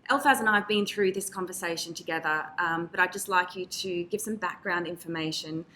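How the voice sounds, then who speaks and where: Female nurse presenter, bright forceful voice, personable storytelling to an audience, noticeable background noise. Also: on the low side, fairly quick. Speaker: nurse, panel interviewer. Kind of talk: prepared talk to an audience. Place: event room with an audience.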